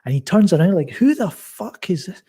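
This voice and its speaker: Guest: soft-spoken man, mellow husky tone, halting casual storytelling. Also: high voice, sing-song. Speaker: podcast guest. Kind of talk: casual chat.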